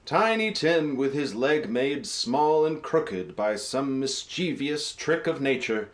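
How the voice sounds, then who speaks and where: A woman narrating a Christmas radio play as a storyteller, reading scripted prose in a measured way. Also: rumbling low, lively intonation, full character voice. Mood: measured, storytelling.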